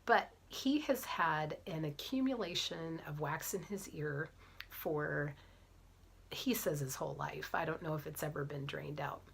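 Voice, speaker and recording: A middle-aged woman with a cold, chatting to camera as she tells a story, often dropping into soft whispered asides. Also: sits low, unhurried, creaky, slightly rough. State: has a cold. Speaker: vlogger, physician assistant and fitness coach.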